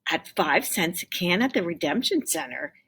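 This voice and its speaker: Low, husky-voiced woman reading a storybook aloud. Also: moderate pace. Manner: expressive, acts out dialogue. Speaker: teacher reading aloud to pupils. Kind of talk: storybook read-aloud with explanatory asides.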